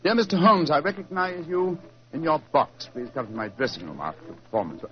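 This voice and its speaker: An actor playing an assured English gentleman detective, precise and formal, in 1940s radio drama style. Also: fairly high pitch, expressive, fairly quick, close to an everyday voice. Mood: reading gravely. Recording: dull 1940s radio recording.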